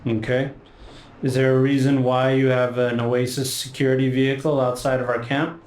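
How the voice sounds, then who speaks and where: A man's voice: medium pitch, level tone, moderate pace, darkish tone, close to an everyday voice. A man playing a suspicious, commanding camp guard who questions newcomers in a tabletop game. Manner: commanding, probing, sceptical. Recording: roomy echo with faint background noise.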